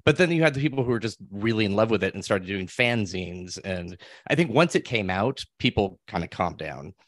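A man's voice: lowish voice, expressive, fairly quick, dry recording. A man speaking as a panel guest, chatting casually and anecdotally, sometimes acting out quoted remarks.

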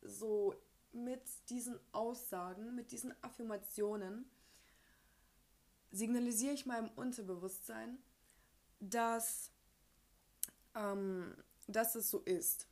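A woman's voice: on the high side, fairly even intonation, slow pace, pauses often, a little mellow. A young woman from Ukraine hosting a casual personal podcast, speaking informally with youth slang and bits of English mixed in. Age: young adult. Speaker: podcast host.

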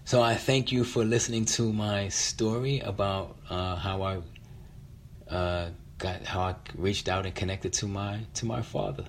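A middle-aged American man telling a personal story in a halting, reflective manner. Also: fairly low pitch, steady tone, creaky, slight background noise. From West Philadelphia, United States. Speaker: featured storyteller.

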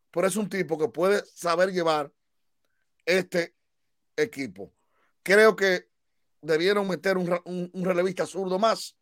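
A man's voice: sits high, fairly bright tone, no echo, studio quality. A man analyzing baseball on a panel, emphatic and playful, lecturing at times. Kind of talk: emphatic sports panel talk.

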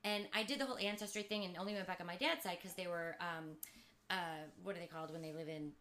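Chatty woman cohost, bright voice, jokes and swears. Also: fairly low pitch, fairly even intonation. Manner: chatty, swears playfully, quick reactions. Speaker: podcast co-host. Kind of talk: casual chat.

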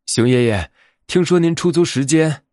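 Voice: medium pitch, moderate pace, a little mellow, dry recording, clean studio sound, pitched above the performer's own voice, natural voice. A man voicing a polite little rabbit in a children's storybook. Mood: hopeful.

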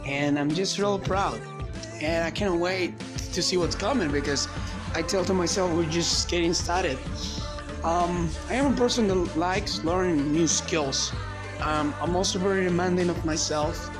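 A man's voice: high-pitched, unhurried, music in the background. Rambling motivational man, Spanish native. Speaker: podcaster. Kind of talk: casual solo monologue.

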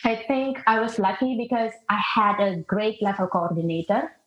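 A middle-aged woman with an Indonesian accent speaks in a reflective interview style. Her voice sits at an average pitch, and the sound is slightly muffled with clear room echo. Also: moderate pace, fairly bright tone. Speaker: podcast guest, international teacher. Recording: muffled, roomy call audio.

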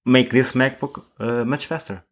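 A man co-hosting a casual tech podcast, halting and often restarting words as he searches for phrasing. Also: medium pitch, fairly even intonation. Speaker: podcast co-host.

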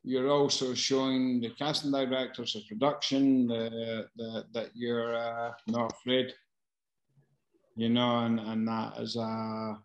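A man, a casting director answering questions in a casual Q&A, halting with many fillers and restarts. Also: mid-range voice, level tone, roomy sound, muffled recording. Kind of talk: casual Q&A advice.

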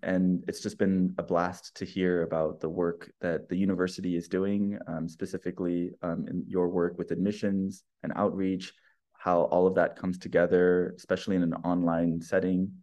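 A man with a very low voice hosts a podcast in a relaxed, chatty interview style. He rambles a little, leaning on fillers and restarts as he builds up his questions. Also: normal speed. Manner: friendly, rambling with many fillers. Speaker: podcast host, researcher and educator.

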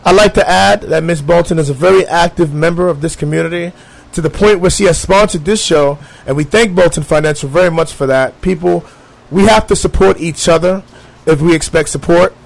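A man's voice: a little high, brisk, gravelly, low-quality recording. A man hosting a talk show who asks friendly leading questions and often restarts his phrases. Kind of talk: interview questions.

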